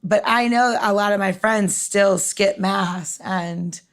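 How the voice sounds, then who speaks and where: A woman's voice: mid-range voice, slow pace. A woman hosting a casual podcast interview, rambling as she thinks aloud through her questions. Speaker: podcast host.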